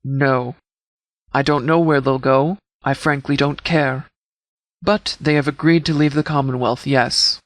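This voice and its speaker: A man playing a no-nonsense sheriff's department commander in a video game, speaking formally and precisely in measured, scripted briefing style. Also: medium pitch, steady tone, no echo, close to an everyday voice. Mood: composed, authoritative.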